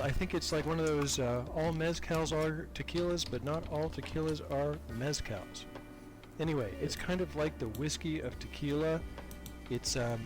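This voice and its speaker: An older man chatting casually as a podcast guest, talkative and self-deprecating. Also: fairly high pitch. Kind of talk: casual interview chat.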